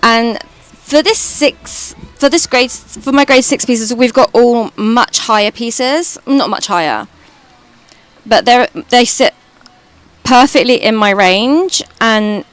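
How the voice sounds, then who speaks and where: A British woman with a high voice, streaming and chatting casually while gaming, rambling and swearing now and then. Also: slow pace, clear, resonant tone, dry recording. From Britain.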